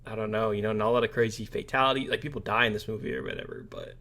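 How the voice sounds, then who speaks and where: A man's voice: fairly low pitch, steady tone, rapid-fire, bright, forceful tone. Man, podcast co-host, casual film chat, informal, tentative, trailing phrases. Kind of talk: casual movie discussion podcast.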